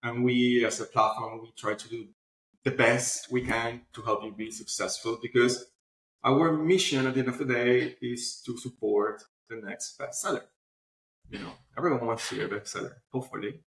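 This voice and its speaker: A man's voice: medium pitch, steady tone, normal speed, a bit bright. A man speaking as an interview guest, explaining casually and often checking that the listener follows. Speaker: podcast guest, company founder. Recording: roomy, echoing sound.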